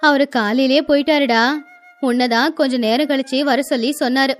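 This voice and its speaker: A woman's voice: high voice, fairly even intonation, a little fast, faint music behind, close to an everyday voice. A woman voicing an anxious, caring middle-aged village mother-in-law in a cartoon moral story, fussing protectively. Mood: worried.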